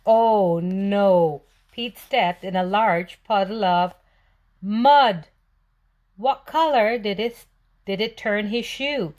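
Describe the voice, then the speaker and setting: Slow-paced woman reading a children's storybook. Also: mid-range voice, lo-fi sound. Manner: expressive, asking questions and answering them. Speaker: children's read-aloud presenter. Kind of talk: storybook read-aloud for young children.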